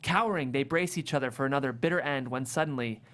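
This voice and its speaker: A man's voice: medium pitch, fast talker, fairly bright tone. A man hosting a script table read, reading stage directions and interviewing in an articulate, effusive way.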